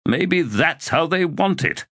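A man's voice: a little high, lively intonation, takes it slowly, pushed and bright, no room sound, studio quality, pitched above the performer's own voice, full character voice. A man voicing Torrent, a weary and defiant young male warrior, in an audiobook reading.

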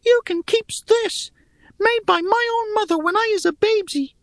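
A tiny male fantasy creature, pipes up in an extremely high, squeaky voice. He sounds childlike and earnest, pleading anxiously in babyish broken grammar, as in a storybook. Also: normal speed, fairly bright tone, dry recording. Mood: earnest, wistful. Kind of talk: audiobook character acting.

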